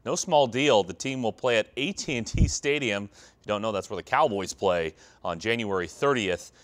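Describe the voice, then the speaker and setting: A man's voice: lowish voice, lively intonation, moderate pace. An American man reading scripted sports news as a television anchor.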